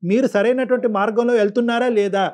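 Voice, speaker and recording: A man's voice: very high voice, fairly even intonation, racing, darkish tone. A man counselling callers as a show host, earnest and admonishing, repeating points for emphasis. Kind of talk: phone-in counselling talk.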